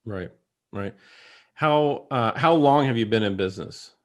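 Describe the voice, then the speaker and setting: A man's voice: mid-range voice, expressive, unhurried. A man hosting a podcast, asking questions casually and loosely, with friendly restarts. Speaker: podcast host. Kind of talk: casual interview.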